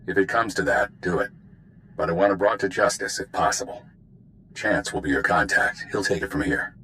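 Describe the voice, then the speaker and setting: An actor playing a stern, world-weary spymaster who briefs agents in a clipped, dry, authoritative way in a video game. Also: male voice, deep voice, lively intonation, normal speed, sharp tone, a little hiss or hum, full character voice, rough recording. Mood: commanding. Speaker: intelligence commander giving a mission briefing.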